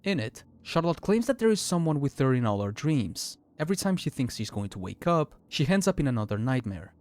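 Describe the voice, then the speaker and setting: Man narrating with widely varied pitch. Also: sing-song, a little fast, a little mellow. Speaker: video essay narrator. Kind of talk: scripted explanatory narration.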